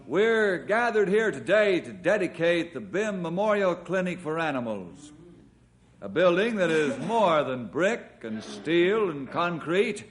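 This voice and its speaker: A steady, good-natured forest ranger in a vintage radio drama, earnest and courteous in his formal speechmaking. His voice sits high and moves in wide, lively swings of pitch. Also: unhurried. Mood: solemn. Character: steady friendly adult male forest ranger. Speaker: master of ceremonies. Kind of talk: 1950s radio drama acting.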